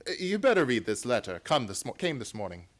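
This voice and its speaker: Man acting as gentleman detective, mid-pitched, formal, wry, self-assured, old-time radio drama. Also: sing-song, fast talker, close to an everyday voice. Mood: brisk, explanatory.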